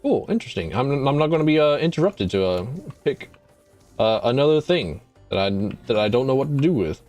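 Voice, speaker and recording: A man's voice: medium pitch, sing-song, a little fast, darkish tone, faint music behind. A man streaming a video game, chatting casually in a rambling, wry way and often correcting himself. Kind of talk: casual gameplay commentary.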